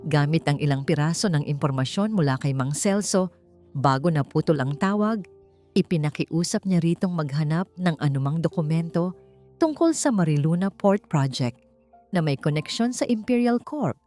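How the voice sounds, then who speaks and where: A woman reading a scripted, dramatic suspense love story in short, measured phrases. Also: sits low, lively intonation, mellow. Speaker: narrator of a story channel. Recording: clear music bed behind the voice. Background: background music.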